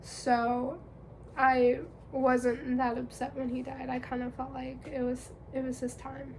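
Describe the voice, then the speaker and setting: A woman's voice: sits high, completely flat delivery, slow, a little hiss or hum, rough recording. A young woman vlogging in casual chat, congested from a cold, chatty and rambling with fillers.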